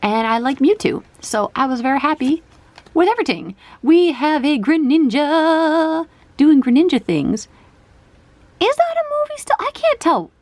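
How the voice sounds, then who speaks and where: A woman talking casually to her viewers as she opens a box of trading cards. Her pitch rises and falls a lot, and she thinks out loud, with fillers and questions to the audience. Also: sing-song, unhurried, no room sound. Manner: chatty, musing aloud with fillers and questions to viewers. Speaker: card collecting vlogger. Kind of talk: casual card opening chat.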